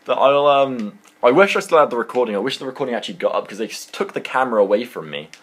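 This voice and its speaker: A young British man telling a personal story in a casual, rambling, animated and slangy way. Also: mid-range voice, fast, breathy edge. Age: young adult.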